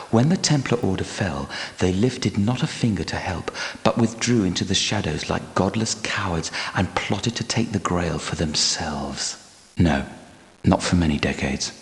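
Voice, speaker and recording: An actor playing a proud, sorrowful, devout guardian knight in a video game, with grave delivery and formal, archaic diction. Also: male voice, deep voice. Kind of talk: dramatic video game character acting. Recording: long cavernous hall reverb.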